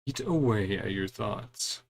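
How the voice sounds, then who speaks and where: A man's voice: lowish voice, lively intonation, takes it slowly, gravelly, a little hiss or hum. A man giving casual commentary on a game as he plays, musing aloud and reading bits of dialogue. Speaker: gaming streamer.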